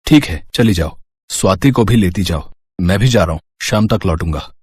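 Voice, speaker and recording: A man playing an even-tempered working husband in an animated moral story. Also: deep voice, normal speed, slightly rough, no room sound. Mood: matter-of-fact.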